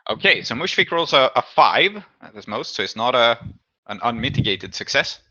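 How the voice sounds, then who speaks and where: A man acting as game master, explaining rulings and narrating a tabletop game in a measured, deliberating way. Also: mid-range voice, very animated, pushed and bright, dry recording, lo-fi sound.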